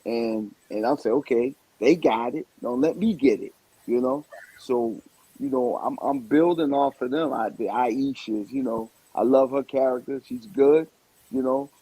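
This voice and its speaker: A man chatting casually in an interview, rambling in an animated way and repeating words for emphasis. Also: medium pitch, a little slow, slightly rough, dry recording, poor audio. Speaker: stand-up comedian and stage play actor.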